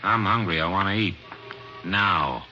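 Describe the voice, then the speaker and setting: A whiny, surly thief. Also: male voice, sits low, measured pace, over music. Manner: whiny, sneering complaints. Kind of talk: 1950s radio drama acting. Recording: dull old radio recording.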